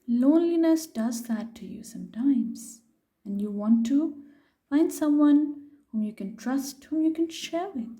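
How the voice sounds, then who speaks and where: Slow-paced, high-voiced woman reading a story aloud. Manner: expressive, unhurried, pausing to ask questions. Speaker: storyteller reading a picture book aloud to children. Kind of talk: read-aloud storytelling with questions to young listeners.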